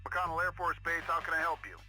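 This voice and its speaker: A brisk, formal military receptionist in an adventure game, speaking quickly at a middling pitch. He sounds polite and by-the-book, and game music plays clearly underneath. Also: flat delivery, bright, forceful tone.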